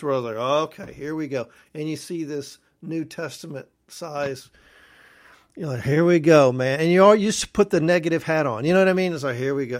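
A man's voice: on the high side, dry recording, clean studio sound. A man co-hosting a podcast, talking in a casual, folksy way and addressing listeners as folks. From Texas. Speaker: podcast co-host.

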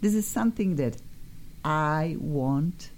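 A woman's voice: sits low, expressive, slow pace, a little mellow, a little hiss or hum. A woman hosting a studio talk show, chatty and effusive, often restarting her sentences. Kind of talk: lively studio chat.

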